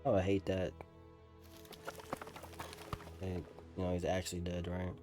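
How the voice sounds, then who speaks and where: Male gaming streamer, low voice, offhand slangy reactions, game music clearly behind. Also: monotone, measured pace. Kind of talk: casual live gameplay reaction.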